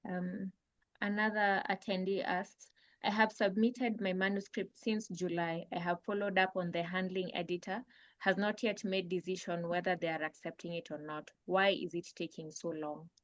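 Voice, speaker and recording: A woman with a rather low voice reads attendees' questions aloud at a careful, even pace. Her audio comes through a dull, dry video call link. She sounds plain and unhurried. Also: no room sound. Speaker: webinar moderator reading questions.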